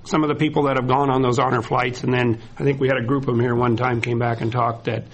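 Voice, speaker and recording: A man's voice: mid-range voice, level tone, fast, slightly rough, poor audio. A man from the county hosting an informal award ceremony, speaking loosely and with many fillers. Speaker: county representative, emcee. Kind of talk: ceremony remarks. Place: indoor community gathering.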